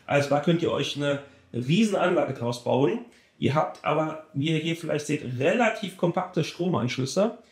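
A man gives a casual product review of car audio gear, eager and emphatic, doubling words to drive a point home. His voice sits fairly high for a man. The sound carries a noticeable room echo. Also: animated, moderate pace. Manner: enthusiastic, emphatic, repeats words for stress. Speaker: car audio shop presenter.

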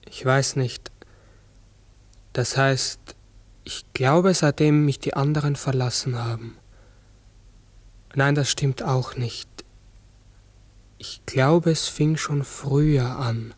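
Forgetful raven, pensive, halting, slow-paced, medium pitch, 1960s radio drama. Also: male voice, fairly even intonation, no room sound. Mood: searching, melancholy. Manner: halting, brooding, self-correcting. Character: human-sized talking raven, pensive, uncertain, forgetful.

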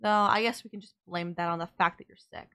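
A woman's voice: on the low side, fairly even intonation, fast, a bit bright. A woman playing a sardonic villain nemesis in an audio roleplay, scolding and teasing the listener in an exasperated but caring way. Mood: scolding care.

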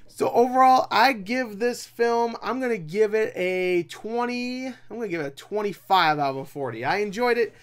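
A young man reviews movies in a voice pitched a little above average. He talks casually and without a script, rambling along with animated asides and a self-deprecating streak. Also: normal speed, hardly any pauses, smooth. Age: young adult. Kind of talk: casual unscripted review chat. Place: small cluttered room at home, indoors.

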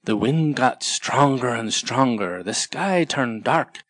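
Storybook narrator, takes it slow, really lively pitch, close dry sound. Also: no room sound. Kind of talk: scripted children's storybook narration.

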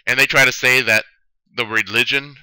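A man in his late thirties giving a solemn religious testimony, slow and preaching, with sudden stressed words. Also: mid-range voice, fairly even intonation, bright, forceful tone, clear, resonant tone, no echo, poor audio. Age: 30s. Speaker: video testimony speaker. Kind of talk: earnest religious testimony monologue.

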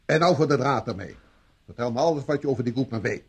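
A sceptical police inspector in a vintage radio play. His interrogation is dry-witted and sarcastic, with lively pitch movement and a slightly husky tone at a middling pitch. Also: fast. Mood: stern, accusing. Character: stern, sceptical male British police inspector. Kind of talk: vintage Dutch radio drama acting.

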